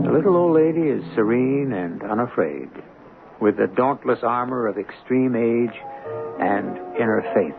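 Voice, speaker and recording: A man narrating a radio drama as a grave, foreboding host, measured and theatrical. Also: mid-range voice, gravelly. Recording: muffled narrow-band radio broadcast over music.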